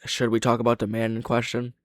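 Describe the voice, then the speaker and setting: A man's voice: mid-range voice, monotone, quick pace, no echo, clean studio sound. A man reacting off the cuff as a reviewer, blunt and opinionated, swearing freely as he talks. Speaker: reaction host and video reviewer. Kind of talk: casual off-the-cuff reaction commentary.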